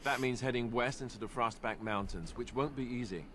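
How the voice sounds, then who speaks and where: A man's voice: medium pitch, a little fast, close to an everyday voice. An actor playing a deferential young male warrior in a video game, with a wry, conversational manner. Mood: informative. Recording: clear background ambience noise.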